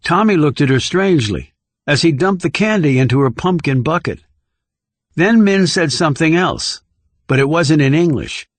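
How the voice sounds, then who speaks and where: A man's voice: a little high, expressive, moderate pace, no echo. A man reading scripted thriller narration as an audiobook narrator.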